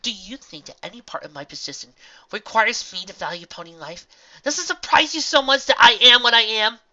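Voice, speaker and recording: Reader doing a high, shrill, fanatical young mare soldier, storybook audiobook, a bit lo-fi. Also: brisk. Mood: fierce. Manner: shrill, desperate, often shouting.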